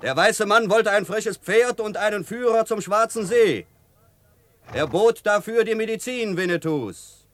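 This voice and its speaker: High-pitched, deliberate Apache rider. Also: male voice, lively intonation, slight background noise. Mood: earnest recounting. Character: friendly male Apache rider, earnest and emphatic. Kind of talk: German radio drama acting.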